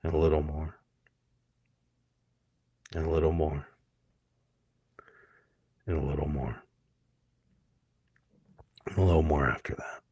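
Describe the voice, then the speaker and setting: A man leading a guided hypnosis meditation, speaking gently and soothingly in short phrases with many pauses. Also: a bass voice, fairly even intonation, slightly rough, dry recording.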